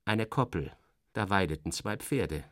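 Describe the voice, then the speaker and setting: A man with a low voice narrates a literary radio play in the first person. He is theatrical and sardonic, shifting his emphasis expressively, and the sound is close and dry. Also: animated, a little slow, no echo.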